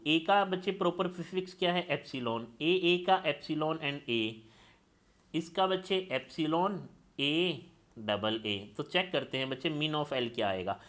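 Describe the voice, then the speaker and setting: A man's voice: a little high, a bit bright. Man teaching with quizzing questions. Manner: calls students "children" and checks they follow; steps through examples, asking and answering his own questions. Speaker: lecturer. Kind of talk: classroom lecture.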